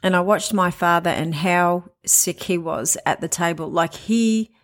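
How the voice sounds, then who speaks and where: Candid woman in her late forties. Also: on the low side. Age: 40s. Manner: candid, conversational, with frequent pauses and restarts. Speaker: podcast host. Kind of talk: personal monologue.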